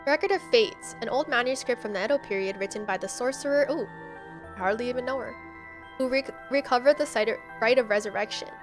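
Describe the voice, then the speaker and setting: A woman's voice: on the high side, fast. A woman game streamer playing a horror game live, reading text aloud and reacting in a dramatic, slangy way. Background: game music.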